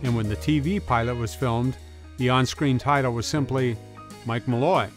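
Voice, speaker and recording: A man narrates a video essay from a script, using informal, folksy turns of phrase. His pitch sits in the middle of the male range. Music with guitar plays clearly behind him. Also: moderate pace. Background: music bed with guitar.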